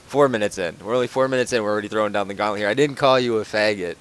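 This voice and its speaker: A man's voice: fairly low pitch, rapid-fire, clear, resonant tone. A man hosting a casual podcast from home over a voice call, rambling with lots of fillers and restarts. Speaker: podcast host.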